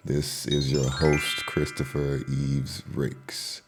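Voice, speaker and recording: A man hosting a casual podcast interview, speaking haltingly with many fillers and restarts. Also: extremely low pitch, completely flat delivery, husky, faint music behind. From Liberia. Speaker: podcast host.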